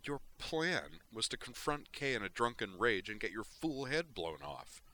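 A man's voice: medium pitch, sing-song, a little slow, bright, forceful tone, pitched above the performer's own voice, exaggerated voice, rough recording. A man voicing a sarcastic, indignant female shipboard AI in a sci-fi audiobook reading. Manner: sarcastic, exasperated.

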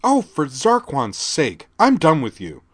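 A man voicing a loud, scornful teenage girl tourist who snaps sarcastically at officers. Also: sing-song, moderate pace. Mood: scornful, bratty. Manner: snappish, sarcastic, shrill.

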